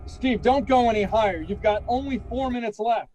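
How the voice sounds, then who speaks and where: A man's voice: very high-pitched, moderate pace, darkish tone, a little hiss or hum. A man playing an anxious, commanding flight controller with an urgent manner, in radio drama style. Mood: alarmed, urgent.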